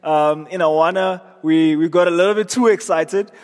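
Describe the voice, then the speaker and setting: A man's voice: fairly high pitch, moderate pace. A man preaching an earnest evening sermon in a church, his voice scratchy and strained. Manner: earnest, repeats words when he restarts. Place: church hall. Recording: long reverb of a church hall.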